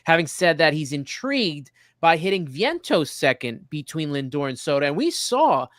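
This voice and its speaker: A man's voice: a little high, very animated, normal speed, a bit bright, no echo, studio quality. A man hosting a sports show, chatting through baseball analysis in a conversational way.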